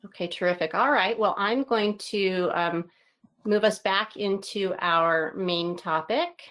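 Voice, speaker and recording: A woman facilitating an online meeting, giving brisk, appreciative acknowledgments over a video call. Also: mid-range voice, a bit bright.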